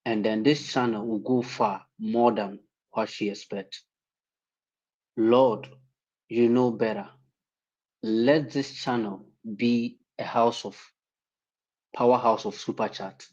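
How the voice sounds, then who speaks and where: Slow earnest man from Ghana. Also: medium pitch, steady tone, a little mellow, highs cut off. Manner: earnest, slow, preacher-like phrasing. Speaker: guest panelist. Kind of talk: prayer and well-wishing on a livestream.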